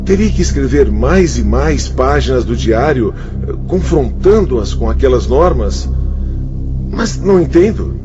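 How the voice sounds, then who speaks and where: A man's voice with a Brazilian accent, animated, over music in slightly dull audio. a defensive, hard-done-by pupil in a radio play, protesting in hesitant, pleading indignation. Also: medium pitch, unhurried. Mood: aggrieved, pleading. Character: defensive, aggrieved male pupil protesting piously. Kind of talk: Brazilian radio drama acting.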